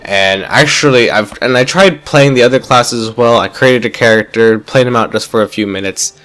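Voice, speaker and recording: A man's voice: mid-range voice, moderate pace, a bit bright. A man with a stuffy, congested voice, rambling enthusiastically through casual gameplay commentary with many fillers and restarts. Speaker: gaming YouTuber.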